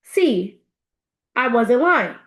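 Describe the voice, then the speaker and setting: A woman's voice: on the high side, sing-song, drawn-out words, full character voice. Woman reading as a chatty, teasing young guy. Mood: gossipy. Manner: chatty, gossipy, insistent. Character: earnest, teasing young male best friend.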